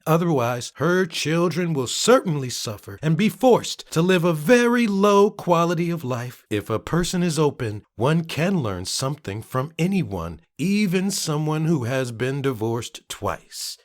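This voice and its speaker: A man narrates in a dramatic reading style with a higher-pitched voice. His intonation swings widely, and his delivery is emphatic and theatrical, shifting from one remark to the next. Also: sing-song, a little slow, hardly any pauses. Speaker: narrator reading social media comments.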